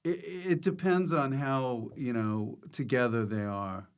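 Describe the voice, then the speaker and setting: American man, podcast host, medium pitch, casual chat, informal, joking, conversational interviewing. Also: unhurried, smooth.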